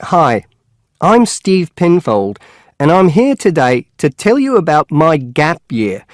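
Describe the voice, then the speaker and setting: A man's voice: fairly high pitch, sing-song, slow pace, dry recording, studio quality. An actor playing a friendly middle-aged man opening a talk in a scripted exam recording. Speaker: speaker giving a talk.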